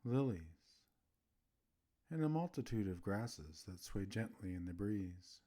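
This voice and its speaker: A man narrating a scripted guided relaxation as a meditation host, soothing, with gentle pauses between phrases. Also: on the low side, lively intonation.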